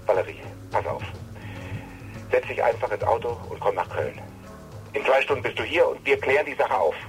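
A man's voice: mid-range voice, lively intonation, a little fast, faint music behind, close to an everyday voice, rough recording. Radio drama: actor as male suspected lover, medium register, coaxing, persuasive, insistent. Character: smooth-talking, persuasive male lover under suspicion. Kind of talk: naturalistic German radio drama acting.

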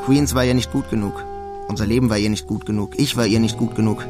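An actor playing a wry, resigned immigrant who narrates a radio drama in a dry, laconic way. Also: male voice, fairly low pitch, steady tone, fast, over music, lightly acted. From Germany. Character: wry, resigned German immigrant man in New York. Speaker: first-person narrator.

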